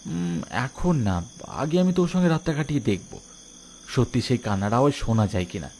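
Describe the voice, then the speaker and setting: A man playing a brave, concerned young village friend in an animated horror story, speaking emphatically. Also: medium pitch, normal speed, slight background noise, lightly acted. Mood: guarded.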